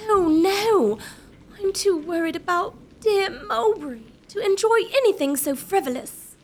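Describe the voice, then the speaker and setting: Woman doing fretful proper young lady, super high n slow, pleading, some hiss behind, gothic comedy. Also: expressive. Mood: worried. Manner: pleading, melodramatic. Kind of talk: gothic comedy audio drama.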